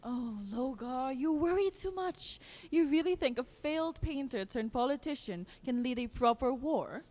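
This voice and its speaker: Woman playing an airy, snobbish vampire socialite, very animated. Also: high-pitched, normal speed. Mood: amused, scornful. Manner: affected, languid, snobbish. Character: airy, dismissive, art-loving society vampire lady, snobbish. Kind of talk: audio drama acting.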